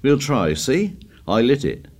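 An anxious, stubborn boy from an audiobook, played by a man. The voice is pushed up high and shrill. He talks in excitable, insistent bursts with rough, unschooled grammar, defensive and pleading. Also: unhurried. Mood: eager, stubborn.

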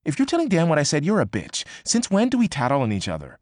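A man voicing a brash, excitable young woman who talks fast, swears freely and pushes hard. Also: on the high side, dry recording, clean studio sound, pitched above the performer's own voice. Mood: agitated. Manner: quick, emphatic, swearing freely. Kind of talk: audiobook character voice.

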